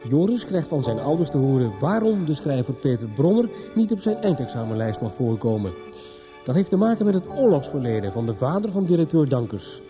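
A man's voice: mid-range voice, big pitch swings, a little fast, music in the background. A man narrating a scripted recap for a radio soap. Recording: dull, band-limited 1990s radio recording.

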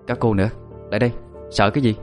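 A man's voice: lowish voice, fairly even intonation, rapid-fire, lightly acted. Man voicing a commanding, scornful village medium woman, music behind. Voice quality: powerful, authoritative. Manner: imperious, issuing sharp orders.

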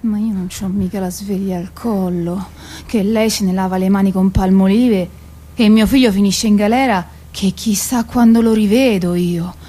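A woman's voice: mid-range voice, steady tone, normal speed, dark tone, husky, lightly acted. An actress playing a coarse, weary working-class mother in a theatrical radio drama, with blunt colloquial talk. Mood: bitter.

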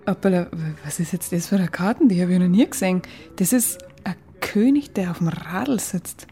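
A woman's voice: lowish voice, lively intonation, moderate pace, mellow. An actress playing a shy, bubbly young female student with a Bavarian accent and a hesitant, colloquial manner in a radio drama. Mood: playful, curious. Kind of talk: naturalistic radio drama acting. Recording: faint music behind the voice.

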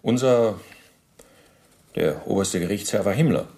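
An actor playing an evasive, indignant former SS officer under interrogation in a radio play, halting, emphatic and formally deferential as he justifies himself. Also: fairly low pitch, lively intonation, dark tone. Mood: formal, defensive. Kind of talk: docudrama radio play dialogue.

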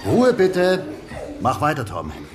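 A man's voice: on the high side, huge pitch swings, a little slow. A man playing a patient, wry schoolteacher in a children's radio play, speaking with dry hesitation.

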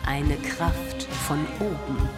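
An actress playing a solemn preacher, giving a measured mock sermon in a radio play. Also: female voice, low-pitched, loud music behind the voice. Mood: solemn preaching. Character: solemn preacher addressing her congregation with absurd war sermon.

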